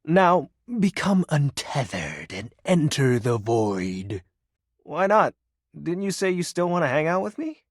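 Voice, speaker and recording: Melodramatic, brooding lord of darkness. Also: male voice, a little high, sing-song, a little slow, no echo, clean studio sound. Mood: grandiose, sinister, then pleading, coaxing. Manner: theatrical grandiose villain speech slipping into awkward, wistful sincerity. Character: brooding, lonely, melodramatic male personification of darkness. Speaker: voice actor. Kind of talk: dramatic video game character acting.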